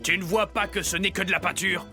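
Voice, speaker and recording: An actor voicing a sly, greedy male cartoon animal villain with a gravelly, scheming manner in a French cartoon dub. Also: high voice, steady tone, brisk, music in the background, natural voice. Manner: scheming, bargaining.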